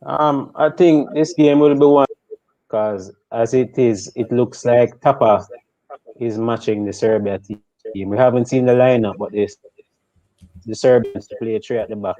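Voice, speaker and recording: A man giving football analysis on a live stream, speaking slowly and hesitantly with many pauses. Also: mid-range voice, steady tone, warm tone, no room sound. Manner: slow, measured, hesitant with ums. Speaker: football pundit. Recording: dull, dry remote mic.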